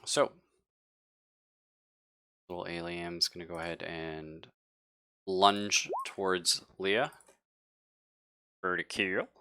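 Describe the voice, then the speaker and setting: A man's voice: deep voice, fairly even intonation, slow, long pauses, bright, forceful tone, a little hiss or hum. A man casually running a live tabletop roleplaying game as game master, with slangy, profane narration.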